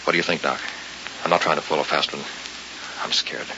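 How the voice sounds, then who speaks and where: An actor playing a frightened, bewildered man with a gravelly voice, terse and defensive, in old-time radio thriller style. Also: rumbling low, steady tone, brisk. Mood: uneasy, bewildered. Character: frightened, bewildered man haunted by a supernatural bargain. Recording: hissy, dull old radio recording.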